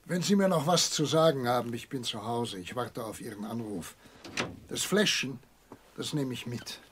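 A man's voice: medium pitch, moderate pace, noisy background, close to an everyday voice. An actor playing a suspicious, fatherly country doctor in a radio drama, grave and probing. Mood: grave, disappointed.

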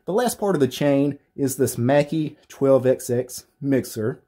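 A man in his thirties with a deep voice, casually walking through his home studio gear, rambling with fillers and restarts. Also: animated, unhurried, mellow. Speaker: tech YouTuber. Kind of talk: casual equipment walkthrough. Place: small home studio with acoustic foam panels.

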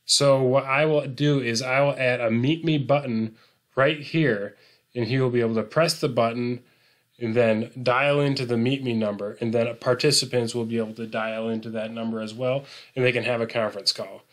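A man presenting an unscripted software tutorial, explaining things informally step by step. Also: mid-range voice, fairly even intonation, moderate pace.

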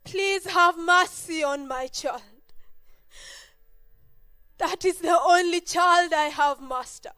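A woman's voice: very high voice, slow pace, pauses often, pushed and bright, heavily acted. A woman acting in a church Easter play as a desperate mother who tearfully begs for help for her possessed child. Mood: begging. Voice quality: tearful, pleading, repeats herself. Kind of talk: amateur stage acting.

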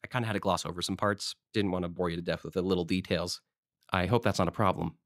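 A man's voice: low-pitched, fairly even intonation, fast, no echo, clean studio sound. An actor playing a friendly, talkative small-town man with a wistful side, in a fiction podcast. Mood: cheerful. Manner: talkative, casual, folksy. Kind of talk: conversational audio drama acting.

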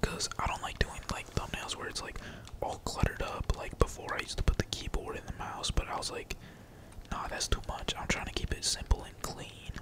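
A man whispering casual, slangy and sweary ASMR gaming commentary in a breathy, playful mutter, as a streamer talking to viewers. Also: moderate pace, poor audio. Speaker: gaming streamer, channel host.

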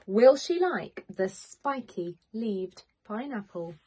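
A woman, a teacher reading a picture book to young children in an expressive, questioning manner. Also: on the high side, slow pace, slight background noise. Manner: expressive, questioning, clearly addressing small children. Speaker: primary school teacher. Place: small home room with bookshelves, indoors.

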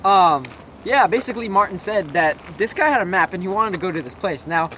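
A young man rambling through a creepy story with lots of fillers, recorded in a dark room on a camera a few feet away. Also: high-pitched, animated, a little fast, muffled recording. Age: young adult. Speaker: co-host. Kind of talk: casual storytelling. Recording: noisy camera audio.

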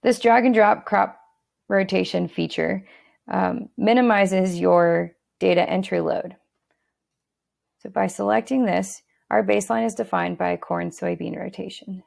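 A woman with a heavily gravelly, fry-laden voice walks through a software tool step by step. Her delivery is steady and instructional, at a middle pitch. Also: unhurried. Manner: steady instructional tone with occasional fillers and restarts. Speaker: software tutorial narrator. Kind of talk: screen-recorded software walkthrough.

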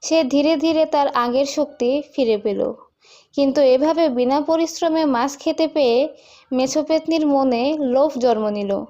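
A woman's voice: sits high, measured pace, mellow, muffled recording. A woman narrating a scripted cartoon folk tale as the storyteller.

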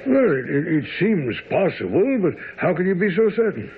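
A man acting in a radio drama as a baffled, sceptical gentleman assistant, stammering and incredulous. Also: sits high, sing-song, moderate pace, breathy edge, heavily acted. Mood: puzzled, doubtful. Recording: thin, tinny radio broadcast.

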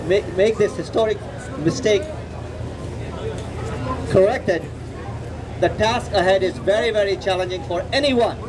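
A middle-aged man with a Nepali accent giving an earnest political speech, emphatic and deliberate, repeating words for stress. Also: sits high. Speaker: activist speaker at a rally. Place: outdoor city plaza at night. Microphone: podium microphone. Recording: outdoor street noise with passing traffic. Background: street traffic and urban ambience.

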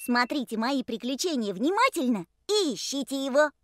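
A woman's voice: high-pitched, big pitch swings, normal speed. A woman voicing a friendly, helpful young truck in an educational cartoon, eagerly addressing small children.

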